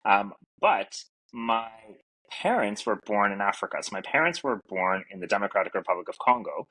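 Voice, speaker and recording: A man's voice: lowish voice, lively intonation, moderate pace, pushed and bright, husky, poor audio. A middle-aged man from Canada, a native French speaker, talking earnestly as a podcast guest with many fillers. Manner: earnest, reflective, lots of fillers. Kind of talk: casual interview talk. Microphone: podcast mic.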